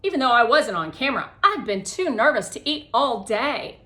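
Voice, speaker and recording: An excitable schoolgirl from a storybook , put on by a woman reader. She is hungry and loud, with a voice lifted in pitch. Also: moderate pace. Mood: excited, hungry.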